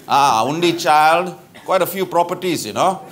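Animated, chatty Malaysian man acting a lawyer. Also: a little high, moderate pace, background noise, close to an everyday voice. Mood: teasing, gossipy. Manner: animated, blunt, colloquial with particles like lah. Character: chatty, tactless middle-aged lawyer humouring a friend's mother. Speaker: stage comedy actor. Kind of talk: live stage comedy sketch. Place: theatre stage with bar set, live audience. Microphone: lapel mic.

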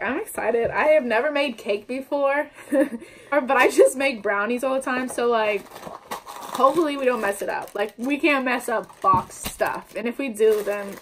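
Young female vlogger, higher pitch, casual slangy patter, recorded at home on the camera mic. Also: a little hiss or hum. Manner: chatty, upbeat, slangy. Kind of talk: casual baking vlog. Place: indoors at home, kitchen table before a wallpapered wall.

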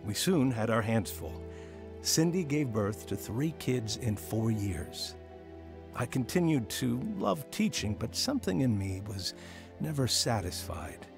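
An actor playing a regretful married schoolteacher and father telling his life story in a radio drama. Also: medium pitch. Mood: reflective. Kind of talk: radio drama narration and dialogue.

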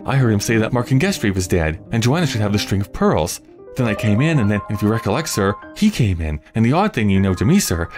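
A man's voice: mid-range voice, voice pushed up, close to an everyday voice. A man voicing a timid, eager young apprentice boy in a Victorian story, pleading and chattering deferentially. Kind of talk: Victorian melodrama storybook character reading.

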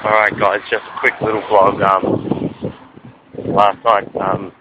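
A young man with an Australian accent rambles through a casual walking vlog, slipping into crude jokes. His voice sits low, and the audio is muffled and lo-fi. Street traffic is clearly audible in the background. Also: completely flat delivery, slow. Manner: rambling, crude jokey banter. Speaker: vlogger. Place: outdoors on suburban streets. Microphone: handheld camera at arm's length. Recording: lo-fi camera audio with street noise.

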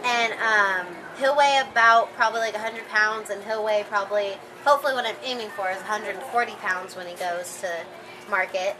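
A woman's voice: mid-range voice, steady tone, brisk. A young woman giving a casual, slightly hesitant show-and-tell as a student livestock exhibitor. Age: teens to young adult. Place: outdoors beside parked trailers. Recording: noisy outdoor camcorder sound.

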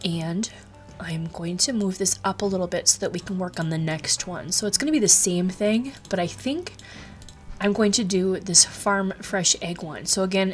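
A woman hosting a craft tutorial, talking casually with lots of ums and restarts, her voice a little stuffy from a cold. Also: on the low side. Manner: chatty, rambling, many ums and restarts. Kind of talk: casual screen-recorded tutorial.

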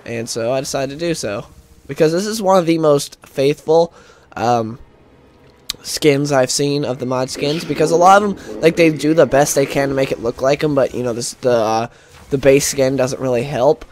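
A young man with a stuffed-up nose, chatting casually and rambling excitedly while he plays a game. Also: medium pitch, moderate pace. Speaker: gaming YouTuber. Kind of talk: casual gameplay commentary.

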